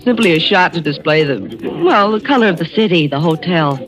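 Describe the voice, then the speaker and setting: A woman's voice: low voice, expressive, a crowd in the background. An actress playing a brash, wisecracking female news photographer in an old radio drama. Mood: breezy. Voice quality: quick, wisecracking manner. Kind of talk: 1940s radio acting. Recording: old radio recording with music.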